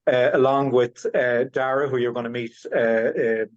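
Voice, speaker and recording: A man's voice: mid-range voice, fairly even intonation, moderate pace, muffled recording. A man with an Irish accent, an Irish speaker from the west of Ireland, presenting as a tour guide in a rambling way full of fillers and restarts. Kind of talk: webinar presentation.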